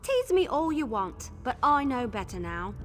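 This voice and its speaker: An actress voicing a spirited, chatty and mischievous young female scout in a video game, with an expressive delivery. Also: sits high, normal speed. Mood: playful, warm. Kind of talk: video game character acting. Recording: game dialogue over background music.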